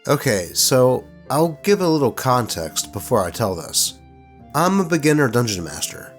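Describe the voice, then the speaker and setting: A man narrating stories in an expressive, colloquial way, with casual commentary mixed in. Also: medium pitch, normal speed, soft background music. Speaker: host reading online tabletop horror stories.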